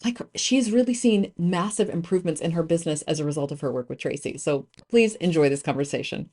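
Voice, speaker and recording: Woman, low voice, podcast host, emphatic, chatty with fillers and restarts. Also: lively intonation, fairly quick, darkish tone. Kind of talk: podcast intro and interview.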